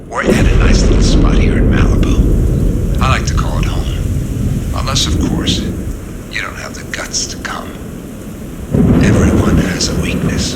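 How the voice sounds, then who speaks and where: Smug karate-game villain: taunting and falsely courteous, highly varied pitch, heavy storm noise behind. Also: male voice. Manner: taunting, mock-polite. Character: smug, menacing male karate villain taunting his rivals. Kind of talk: video game cutscene acting. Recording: loud rain and storm noise.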